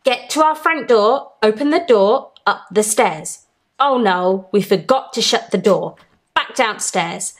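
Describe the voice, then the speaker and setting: A woman reading a children's picture book aloud in an expressive, deliberate sing-song chant with drawn-out words. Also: mid-range voice. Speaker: storytime reader for children.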